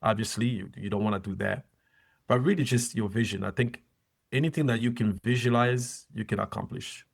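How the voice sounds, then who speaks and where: A middle-aged man, a former soccer player and coach, giving earnest, measured advice as a podcast guest. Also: lowish voice, creaky. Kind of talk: interview conversation.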